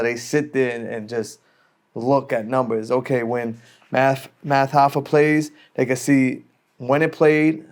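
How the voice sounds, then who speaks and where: A man's voice: mid-range voice, darkish tone. An American man who is a radio DJ guest, talking casually and explaining in slangy, conversational speech.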